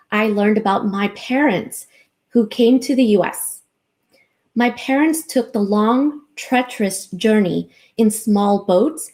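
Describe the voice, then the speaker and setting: This is a woman in her forties who was born to a Vietnamese-speaking family, and she delivers a prepared personal talk. Her voice is soft and mellow, and she speaks at an ordinary middle pitch. Her delivery is earnest and unhurried throughout. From United States. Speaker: researcher and advocate.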